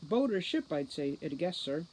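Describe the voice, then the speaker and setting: A woman's voice: deep voice, sing-song, normal speed, darkish tone, a little hiss or hum, rough recording. A woman reading a cheeky, smug male centaur tech expert in a storybook, glib and flippant in manner. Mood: matter-of-fact.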